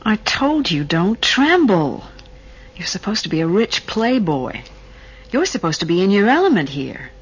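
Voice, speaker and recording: Husky, bossy con artist. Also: female voice, mid-range voice, sing-song, unhurried, dull audio. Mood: scolding. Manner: dry, domineering. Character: bossy, scathing female con artist, cool-headed. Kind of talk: radio drama acting.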